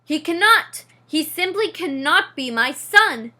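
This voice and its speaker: A woman reading aloud, voicing a pompous, easily alarmed father mouse who bursts into loud, dramatic outcries. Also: sits high, expressive, measured pace, full character voice. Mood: outraged. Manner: loud, melodramatic outbursts.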